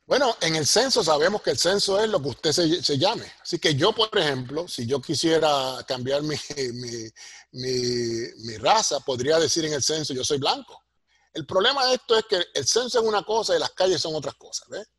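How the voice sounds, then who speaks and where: A middle-aged or older man with a Puerto Rican Spanish accent and a husky voice, explaining things like a professor in an interview and punctuating his points with tag questions. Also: fairly high pitch, lively intonation, normal speed, a bit bright, no echo. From Puerto Rico. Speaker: sociology professor, interview guest.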